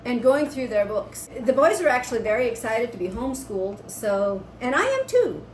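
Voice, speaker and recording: Higher-pitched woman chatting in an echoey room. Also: normal speed. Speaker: homestead vlogger and host. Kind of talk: casual chat. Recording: roomy echo with steady background noise.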